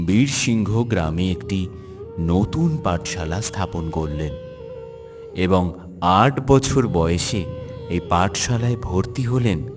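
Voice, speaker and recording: Deep male storyteller in long reverb. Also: darkish tone. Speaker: narrator. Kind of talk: scripted storybook narration for a cartoon biography. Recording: long hall reverb, music underneath.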